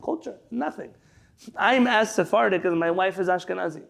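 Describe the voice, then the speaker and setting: High, gravelly rabbi teaching online. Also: male voice, moderate pace. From San Diego, California. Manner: digressive, chatty, quotes sources then paraphrases. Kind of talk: conversational Torah class. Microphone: video call.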